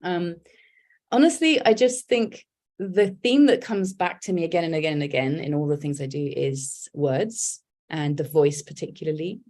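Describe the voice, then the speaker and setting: A woman talks about her creative work in a relaxed interview. Her voice sits low, and her speech is reflective and candid, with frequent fillers and restarts as she gathers her thoughts. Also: normal speed. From England. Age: 40s. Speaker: guest, poet and writer.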